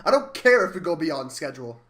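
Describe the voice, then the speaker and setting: A man's voice: on the high side, sing-song, brisk, a bit bright. A man acting as a stern, demanding research director near seventy in a radio play, with a commanding, clipped manner. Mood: brisk, commanding.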